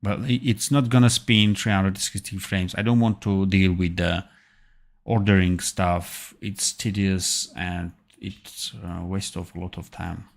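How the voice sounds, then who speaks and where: Slow, gravelly low-voiced man thinking aloud. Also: fairly even intonation. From Armenia. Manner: thinking aloud, halting with fillers and self-corrections. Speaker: animation tutor. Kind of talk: live-streamed software tutorial.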